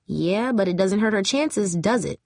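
A woman's voice: medium pitch, expressive, moderate pace, gravelly, darkish tone, dry recording, clean studio sound. A woman voicing a bold, defiant teenage cheerleader in a story, outspoken and challenging. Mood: bold, friendly.

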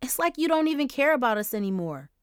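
A woman's voice: a little high, very animated, normal speed, no echo, clean studio sound, voice pushed up. A woman voicing an upset, defensive fourteen-year-old girl who pleads and then lashes out angrily. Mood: angry. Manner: pleading, then angry and blurting.

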